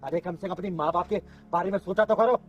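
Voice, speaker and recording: A man's voice: high voice, fast talker, highs cut off, faint music behind, lightly acted. A man acting a fierce, protective kinnar elder who scolds, threatens and boasts in a melodramatic short film. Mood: threatening, stern. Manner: scolding, threatening, boastful, speaks of self by name. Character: Chingari, fierce kinnar (transgender woman) avenger, protective elder.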